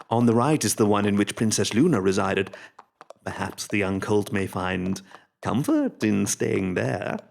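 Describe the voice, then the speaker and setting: A man's voice: mid-range voice, vocal fry. A man playing a haughty, scheming royal pony official with a condescending, drawling manner in a fan-made radio drama.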